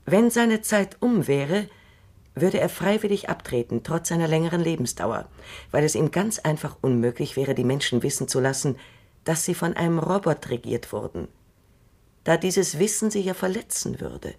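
An actress playing Doctor Calvin, a shrewd, dry and assured elderly woman robot psychologist, speaking in a measured, knowing way in a radio drama. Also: low voice, expressive, a little mellow. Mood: wry.

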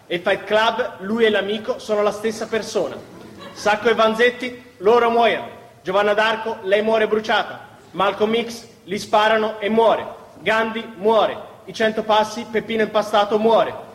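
A male stage performer delivers a live recitation in a theatre. His voice sits high for a man. The sound is very echoey, with a long hall reverb. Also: moderate pace.

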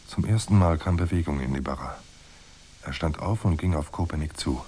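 An actor playing a cool, unflappable private detective who narrates a noir radio drama in a dry, laconic way. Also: male voice, rumbling low, brisk, mellow, slight background noise. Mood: cool, matter-of-fact. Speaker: first-person narrator and detective.

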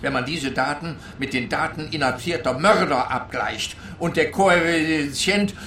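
Pompous policeman, pedantic and insistent, sharp bright tone, roomy sound, comic radio play. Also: on the high side, measured pace, a little hiss or hum. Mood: pompous, lecturing. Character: pompous, self-assured policeman spouting absurd statistical theories. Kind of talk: German-language comic detective radio play.

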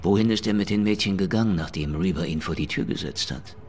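An actor playing a tough, impatient police detective in a radio crime drama, speaking in a blunt, gruff and demanding way. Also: male voice, sits low, quick pace, darkish tone, close to an everyday voice. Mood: impatient interrogation. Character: tough, impatient male Harlem police detective interrogating suspects.

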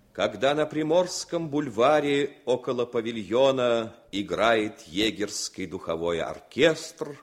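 A man narrating a classic story for radio in a measured, expressive reading. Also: fairly low pitch. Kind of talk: scripted literary narration for radio.

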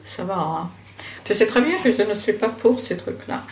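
A woman's voice: medium pitch, expressive, moderate pace, darkish tone, echoey room, a little hiss or hum. An older woman from Syria, talking bluntly and dismissively with her daughter at home. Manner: blunt, dismissive, talks over her questioner. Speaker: interviewee, the producer's mother. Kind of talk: candid family conversation.

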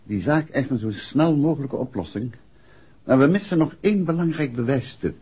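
Very husky, composed gentleman detective, muffled. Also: mid-range voice, lively intonation. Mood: measured, businesslike. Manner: measured, deliberate. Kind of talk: 1960s Dutch radio drama acting. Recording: muffled old 1960s radio recording.

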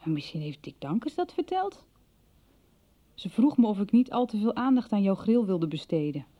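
A woman's voice: medium pitch, sing-song, normal speed, muffled recording. An actress playing a worried, suffering woman with a plaintive manner in a radio soap. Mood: troubled. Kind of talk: 1990s Dutch radio soap acting.

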